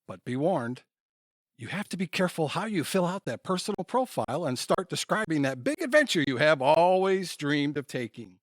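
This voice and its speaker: A man's voice: a little high, very animated, normal speed, dry recording, studio quality. A man reading scripted comedic narration for an audio play, in the manner of an announcer.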